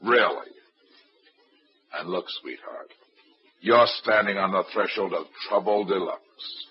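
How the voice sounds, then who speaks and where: A man's voice: sits low, takes it slowly. An actor playing a hard-boiled private detective with a wry, clipped tough-guy delivery, in 1940s radio acting. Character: wisecracking hard-boiled male private eye. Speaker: narrator and lead detective. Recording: dull old radio recording.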